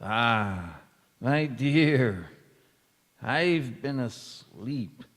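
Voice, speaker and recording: A man acting a feeble, forgetful old grandfather in a stage radio play, halting, dreamy and fondly teasing. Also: medium pitch, lively intonation, fairly bright tone, heavily acted. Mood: drowsy, confused. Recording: echoey hall with long reverb.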